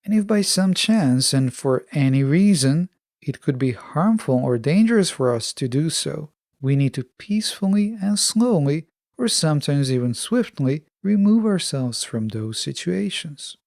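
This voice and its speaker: A man hosting a self-help podcast, delivering a scripted monologue in a measured, instructive manner. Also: fairly high pitch, lively intonation, vocal fry, darkish tone, no room sound, clean studio sound. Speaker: podcast host.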